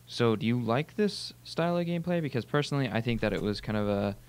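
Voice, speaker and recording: A man's voice: mid-range voice, animated, quick pace, a little mellow, clear, resonant tone. A man hosting a casual gaming discussion and putting questions to a guest, with background noise in the recording. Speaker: host asking questions.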